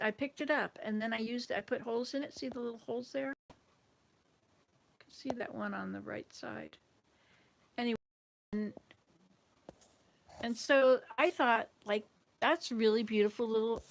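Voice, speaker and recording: Creaky-voiced Southern US woman chatting casually. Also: fairly high pitch. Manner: rambling, jumps between thoughts. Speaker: livestream host. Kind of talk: casual chat, answering viewer comments.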